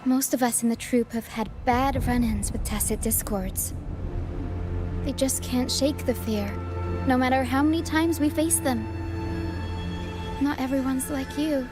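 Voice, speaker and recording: Brave young troupe performer, female, caring and spirited, raised pitch, game dialogue over clear music. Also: fairly even intonation, measured pace, pauses often. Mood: earnest, reassuring. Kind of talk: video game character acting. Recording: music behind the voice.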